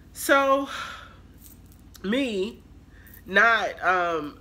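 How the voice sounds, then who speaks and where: A woman in her thirties tells a casual storytime to her viewers, chatty and animated. Her voice is bright and forceful. She sits close to the camera in a small room at home. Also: fairly low pitch. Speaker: vlogger. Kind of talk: casual storytime haul vlog. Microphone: camera close in front, talking to it.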